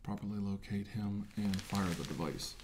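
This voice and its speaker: A middle-aged man playing an earnest scientist who leads an armed mission, speaking in halting, measured phrases on a noisy recording. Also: on the low side, steady tone, natural voice. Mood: earnest, commanding. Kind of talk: amateur sci-fi role-play, briefing a team.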